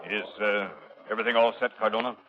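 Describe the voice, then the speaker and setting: An actor playing a blunt, exasperated police commissioner with an authoritative manner in a 1930s radio drama. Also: male voice, on the low side, flat delivery, fast, lightly acted. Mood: wary. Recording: tinny, phone-like 1930s radio recording.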